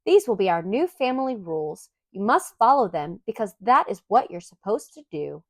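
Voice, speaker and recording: A woman's voice: mid-range voice, very animated, normal speed, no room sound. A woman voicing an earnest, bossy little girl in a storybook, prim, polite and officious.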